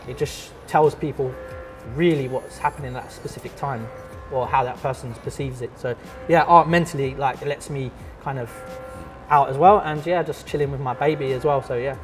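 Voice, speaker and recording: A man, an artist and teacher chatting casually in an interview, relaxed and full of fillers and restarts. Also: mid-range voice, normal speed, faint music behind. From London.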